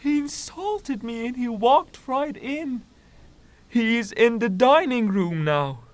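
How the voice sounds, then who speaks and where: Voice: mid-range voice, animated, takes it slowly, no room sound. A performer playing a flustered, deferential household servant in a theatrical audio drama, with a plaintive manner.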